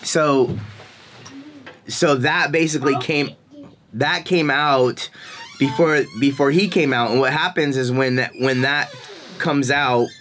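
A man's voice: mid-range voice, slow, pauses often, fairly bright tone, soft background music. A man in his forties, hosting a casual chat, talking in a loose, rambling way and laughing often. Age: 40s. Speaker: podcast host. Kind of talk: casual conversation.

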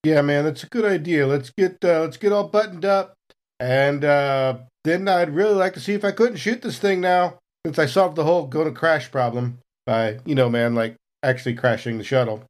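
A man's voice: a little high, normal speed. A man playing a tabletop role-playing game, chatting casually in character with laid-back, slangy phrasing. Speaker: player in a tabletop RPG podcast.

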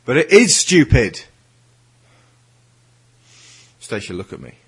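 A man's voice: mid-range voice, sing-song, brisk, a bit bright, breathy edge, pitched above the performer's own voice, heavily acted. A man playing an earnest, troubled schoolboy in an audio drama. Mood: heated, earnest. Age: teenage schoolboy figure. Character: earnest, troubled schoolboy pleading against violence. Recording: music under the voice.

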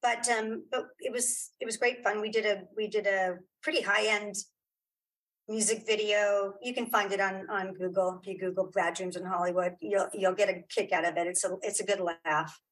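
A woman's voice: medium pitch, fairly even intonation, moderate pace, low-quality recording. Chatty mature woman, casual storyteller. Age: middle-aged or older. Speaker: interview guest, audio drama creator and former actress. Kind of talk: casual conversational interview.

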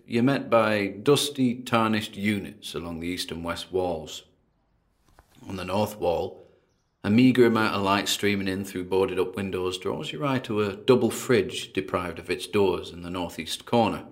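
An actor as a low, gravelly paranormal investigator. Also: male voice, full character voice. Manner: hesitant, muttering observations with sighs and trailing asides. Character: uneasy male paranormal investigator narrating his exploration on tape. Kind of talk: audio drama found-recording monologue.

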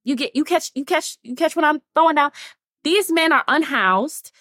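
A woman's voice: high voice, normal speed, dry recording, clean studio sound. A woman, talking casually to her audience as host. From Atlanta, Georgia. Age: 20s. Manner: chatty, animated, laughs often, addresses listeners as y'all. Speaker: TikTok creator and podcast host. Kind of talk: casual talk to camera.

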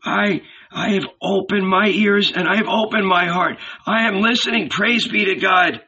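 A man's voice: high voice, pushed and bright, breathy edge, muffled recording, voice pushed up, full character voice. A man voicing a frightened old rich man, trembling and stammering, pleading reverently in a storybook reading. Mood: eager, reverent. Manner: stammering, halting.

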